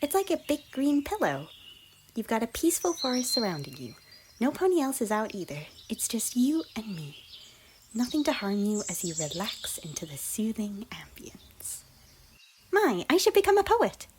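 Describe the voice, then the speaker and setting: A woman voicing a chirpy, affectionate young pony in soft, gentle ASMR roleplay, often slipping toward a whisper. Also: fairly high pitch, very animated, normal speed. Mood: soothing, then cheerful, tender. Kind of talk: roleplay ASMR character dialogue. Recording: noisy, birdsong and outdoor ambience behind. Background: birdsong and nature ambience.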